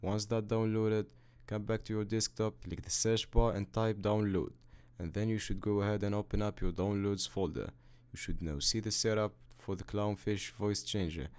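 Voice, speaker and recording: A man hosting a how-to video, narrating software steps in a matter-of-fact, instructional way. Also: on the low side, steady tone, normal speed, smooth. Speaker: tutorial host. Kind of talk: scripted step-by-step software tutorial narration.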